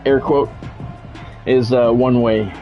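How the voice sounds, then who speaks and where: Man, American accent, livestream host, conversational play-by-play, medium pitch, lo-fi recording, music behind. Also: steady tone, takes it slowly. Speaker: livestream host, game commentator. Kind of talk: casual live-stream chat with play-by-play commentary. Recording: dull lo-fi sound, music behind.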